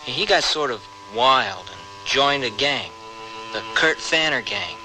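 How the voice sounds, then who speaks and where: A man's voice: mid-range voice, lively intonation, slow pace, sharp tone, soft background music, full character voice. A man playing a regretful, folksy young ex-convict cowboy in an old-time radio western. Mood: regretful, frank. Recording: slightly noisy radio drama recording.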